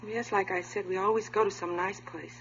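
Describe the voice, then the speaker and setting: An actress playing a polite, hesitant young office worker being questioned in a 1950s radio police drama. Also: female voice, mid-range voice, fairly even intonation, a little fast, a bit bright, a little hiss or hum, lightly acted. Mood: defensive. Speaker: supporting actor. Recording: muffled, humming vintage radio recording.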